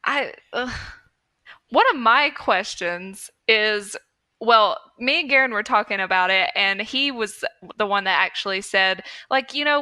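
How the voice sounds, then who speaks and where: A woman's voice: on the high side, pushed and bright. A woman co-hosting a podcast, chatting casually about films in a conversational, self-deprecating way. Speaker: podcast co-host. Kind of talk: casual film review chat.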